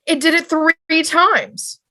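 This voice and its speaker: A woman's voice: very high voice, steady tone, slow, pushed and bright, exaggerated voice. A woman playing an anxious, fussing passenger in an amateur table read over a video call. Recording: noisy home video-call microphone.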